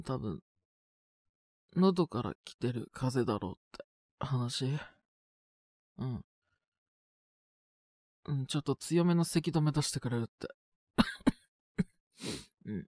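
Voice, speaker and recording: A man acts out a young male student down with a cold in an audio drama. His voice is husky and breaks into coughs, with big swings in pitch. He talks slowly and casually, polite but mildly exasperated, in trailing phrases. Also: darkish tone, no room sound, clean studio sound, heavily acted. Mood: casual, insistent. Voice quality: husky, with frequent coughing fits. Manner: casual youthful speech, trailing hesitant phrases. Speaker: voice actor. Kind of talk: one-sided situation voice drama.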